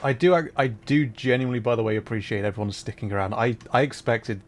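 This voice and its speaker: A man's voice: mid-range voice, fast talker. A man giving casual, playful livestream gameplay commentary, self-deprecating and thinking aloud in repeated little phrases. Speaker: livestreamer playing a puzzle game.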